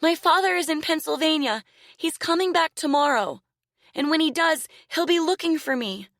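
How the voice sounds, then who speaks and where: A woman voicing a frightened young girl who pleads and cries in a high voice. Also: moderate pace, fairly bright tone, no room sound, studio quality. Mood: terrified pleading.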